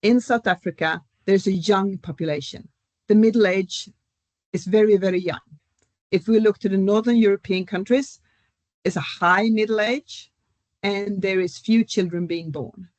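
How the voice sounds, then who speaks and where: Woman, measured academic explainer. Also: medium pitch, darkish tone, no echo. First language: Swedish. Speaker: professor, panel guest. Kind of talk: academic panel discussion.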